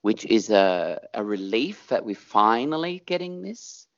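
A man's voice: on the low side, sing-song, a little slow, lo-fi sound. A middle-aged Australian man, a performer, talking reflectively in an interview and stretching words for emphasis.

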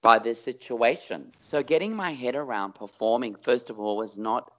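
A man's voice: mid-range voice, moderate pace, muffled recording. A middle-aged Australian man, a performer, talking reflectively in an interview and stretching words for emphasis.

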